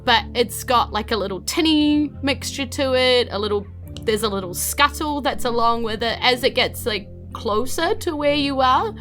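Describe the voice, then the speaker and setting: A woman's voice: on the high side, lively intonation, normal speed, fairly bright tone. A woman with a New Zealand accent runs a tabletop game. She narrates off the cuff in a casual, conversational style, with light music underneath. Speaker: game master, podcast host.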